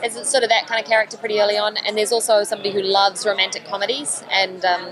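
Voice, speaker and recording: A woman actor answering press questions in a lively, chatty way, laughing often. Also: mid-range voice, fast talker, crowd noise, rough recording. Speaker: actor being interviewed. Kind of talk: press room interview answers.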